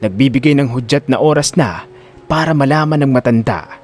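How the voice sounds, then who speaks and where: A man's voice: mid-range voice, brisk, a little mellow. A man narrating a scripted audio drama in a dramatic storytelling style.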